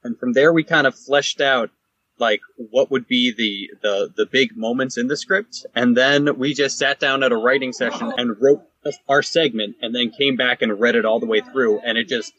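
A man's voice: medium pitch, steady tone, moderate pace, fairly bright tone, lo-fi sound. A man chatting casually as a podcast host about making a show. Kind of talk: casual chat.

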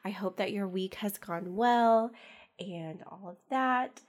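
A young woman hosting a casual solo podcast, chatty and rambling with lots of fillers and soft laughs, sounding congested from a cold. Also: medium pitch, takes it slowly. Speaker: podcast host.

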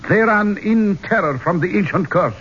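An actor playing a proud, solemn Egyptian man in a 1970s radio drama, speaking formally and ominously in a measured way. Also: high-pitched, expressive, fairly bright tone, breathy edge, heavily acted. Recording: muffled old radio broadcast recording.

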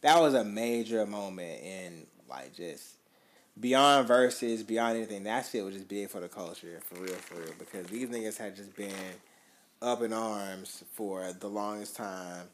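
A man, co-hosting a podcast in rambling, profane chat that often trails off quietly. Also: on the low side, unhurried. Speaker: podcast co-host. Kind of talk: casual chat.

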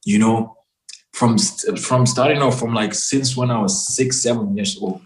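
A young man from West Africa chatting as a guest, emphatic and animated, often calling his listener bro and man. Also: medium pitch, unhurried, reverberant, a little hiss or hum, low-quality recording. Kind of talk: casual conversation.

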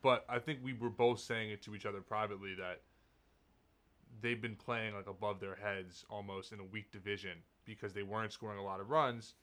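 A man's voice: lowish voice, fairly even intonation, brisk, bright, forceful tone. A man, co-hosting a casual sports podcast with joking, hyped-up banter. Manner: joking, hype. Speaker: podcast co-host, audio engineer.

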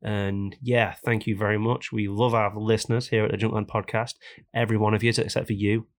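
A man hosting a casual podcast, hoarse and raspy from a cold, ranting jokily about things that annoy him. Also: on the low side, level tone, fairly quick. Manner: jokey, complaining rants. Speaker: podcast host.

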